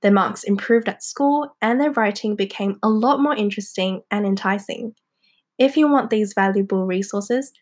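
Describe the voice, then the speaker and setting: A young woman hosting a podcast in a casual, encouraging way, her voice hoarse and congested from a cold. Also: mid-range voice. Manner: chatty and encouraging, with fillers like "um" and "like". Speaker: podcast host. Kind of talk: casual solo podcast talk.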